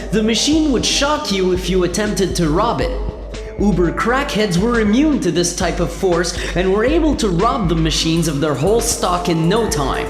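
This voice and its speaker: A woman reading scripted narration for a sci-fi comedy radio play. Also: medium pitch. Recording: echoey long reverb over music.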